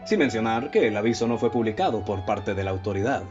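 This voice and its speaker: Radio drama detective, male actor: lowish Mexican voice, composed, analytical, formal delivery, dull lo-fi sound. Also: lively intonation, lightly acted. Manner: formal, measured, explanatory. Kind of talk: radio play acting. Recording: dull lo-fi audio with music bed.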